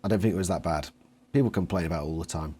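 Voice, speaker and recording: A man's voice: low voice, very fast, dark tone, husky. A man chatting casually about books as an interviewer.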